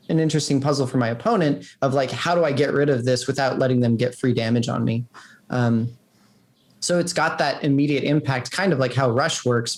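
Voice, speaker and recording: A man with a medium-pitched voice answers as an interviewed game developer. His phrasing is informal and exploratory, circling his point with plenty of "like". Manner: loose, exploratory phrasing with many "like"s.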